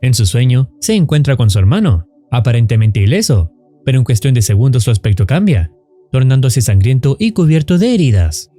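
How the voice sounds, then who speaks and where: A man narrates a scripted horror story recap. His pitch moves up and down in a lively way, and his tone is bright but controlled. Faint music plays underneath. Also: fairly quick.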